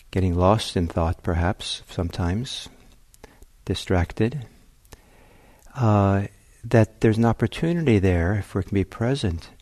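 Slow, low-voiced man guiding meditation. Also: no echo. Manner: gentle, hesitant, reflective phrasing with long pauses. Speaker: meditation teacher. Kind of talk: guided meditation talk.

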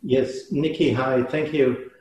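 A man sharing in a meditation group over a video call, speaking slowly and haltingly with many restarts. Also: mid-range voice, steady tone, darkish tone, a little hiss or hum. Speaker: participant. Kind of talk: casual sharing. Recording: very echoey room.

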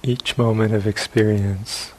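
A man leading a guided meditation as a teacher, speaking in sparse short phrases with long pauses between them. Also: fairly low pitch, flat delivery, low-quality recording. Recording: faint hiss and background noise.

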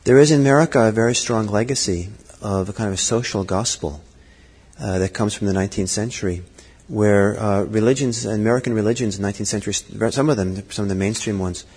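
Middle-aged male teacher, husky voice, halting reflective delivery, long hall reverb. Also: on the low side, darkish tone. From Norway. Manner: thoughtful, halting, many fillers and restarts. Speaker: Buddhist teacher. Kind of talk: dharma talk.

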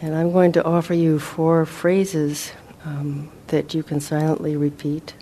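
Slow, mellow woman guiding meditation. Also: low voice, flat delivery, slight background noise. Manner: gentle, measured, with long pauses. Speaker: meditation teacher. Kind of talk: guided meditation.

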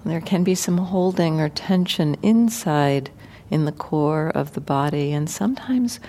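A woman meditation teacher leading a guided meditation gently and without hurry, leaving many pauses. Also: sits low, animated, slight background noise.

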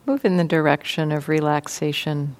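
A woman teaching meditation, guiding a sitting practice in a gentle, unhurried way with long pauses. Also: deep voice, steady tone. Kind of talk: guided meditation instruction.

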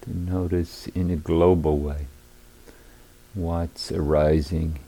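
Male meditation guide, very dark mellow tone, husky, unhurried, leaving long pauses between short phrases. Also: sits low, level tone. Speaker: meditation teacher. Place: meditation retreat hall.

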